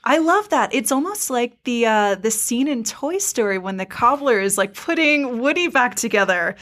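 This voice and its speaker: A woman's voice: on the high side, animated, normal speed, fairly bright tone. A woman hosting a podcast, chatting casually about fandom in a playful, enthusiastic way. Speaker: podcast host. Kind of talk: casual fan discussion.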